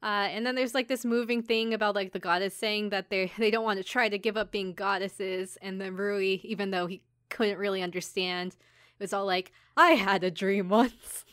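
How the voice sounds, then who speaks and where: A woman's voice: medium pitch, fairly even intonation, moderate pace, a bit bright, smooth, dry recording, clean studio sound. A woman podcast host giving a casual, chatty recap, informally acting out bits of dialogue with wry commentary. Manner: informal, acts out characters' lines in passing.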